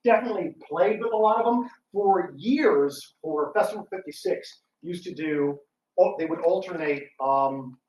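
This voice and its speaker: Man, lightly high voice, halting delivery, casual panel discussion, distant mic, strong room echo. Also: lo-fi sound. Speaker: radio theatre director on a discussion panel. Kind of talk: casual live panel talk. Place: indoor room, public talk at a library. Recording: roomy, echoing, distant mic.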